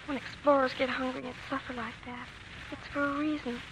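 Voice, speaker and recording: A woman's voice: high voice, level tone, brisk. An actress playing an earnest, wistful young girl devoted to her father in a 1940s radio drama. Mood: troubled, wistful. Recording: faintly noisy 1940s radio broadcast.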